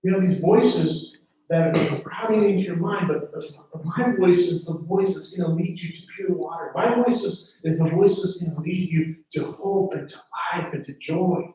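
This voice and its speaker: High-voiced preacher in an echoey hall. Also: male voice, normal speed, mellow. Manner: earnest, repeats words when starting phrases. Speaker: pastor. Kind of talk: sermon. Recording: very echoey room from a distance.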